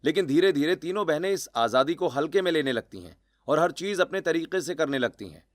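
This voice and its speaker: A man's voice: on the high side, expressive, fairly quick, no room sound. A man reading scripted narration for a cartoon family story. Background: background music.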